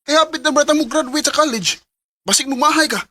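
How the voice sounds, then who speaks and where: A man's voice: very high voice, fast talker. A young man playing a teasing, encouraging best friend in an amateur radio drama.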